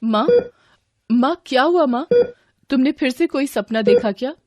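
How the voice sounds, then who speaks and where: A woman's voice: sits high, lively intonation, measured pace, a little mellow, no room sound, clean studio sound, pitched above the performer's own voice. A woman voicing a worried ten-year-old girl in a horror story, anxious and questioning. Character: worried ten-year-old daughter soothing her mother. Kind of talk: Hindi horror story acting.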